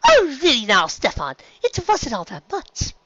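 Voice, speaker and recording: A woman's voice: high voice, wildly swooping pitch, unhurried, fairly bright tone, no room sound, voice pushed up, exaggerated voice. A woman voicing a refined young mare, courteous and dramatic, with genteel, formal phrasing in a fan story reading. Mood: embarrassed. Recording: slightly dull, lo-fi recording.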